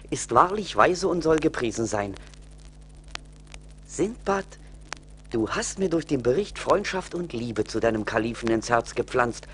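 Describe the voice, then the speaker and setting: A man's voice: medium pitch, lightly acted. An actor playing a dignified, generous king, speaking in a solemn, declamatory way on an old vinyl audio play. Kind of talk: storybook audio play acting. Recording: old vinyl record, faint noise.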